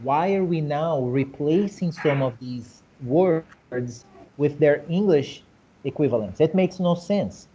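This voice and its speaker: A man with a Brazilian Portuguese accent talks on a video call, his voice sitting a little high for a man but dark and mellow in tone. He explains his views with lively rhetorical questions. The call audio is dull and low-fidelity. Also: takes it slowly, slight background noise. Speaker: host. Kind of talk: casual discussion.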